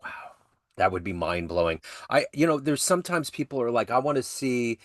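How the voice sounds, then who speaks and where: A man's voice: medium pitch, sing-song, fairly quick, no room sound, clean studio sound. A man hosting an interview podcast, asking questions over a call and reacting with enthusiastic affirmations. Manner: effusive, frequent yeah affirmations. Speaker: podcast host. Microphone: video call.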